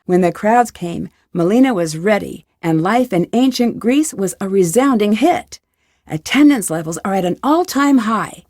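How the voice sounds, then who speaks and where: Woman as teen girl sleuth, scripted game narration, medium pitch, confident, dry studio sound. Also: darkish tone, dry recording, close to an everyday voice. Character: bright, confident teenage girl detective recounting her cases.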